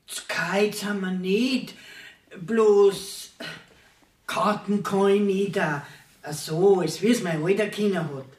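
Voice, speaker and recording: An actress playing a plain-spoken, middle-aged village widow with a Bavarian accent in a radio play, earthy and matter-of-fact. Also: female voice, mid-range voice, fairly even intonation, slow pace, a little mellow, recorded from a distance, close to an everyday voice. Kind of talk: dialect radio play acting. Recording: roomy radio studio, off from mic.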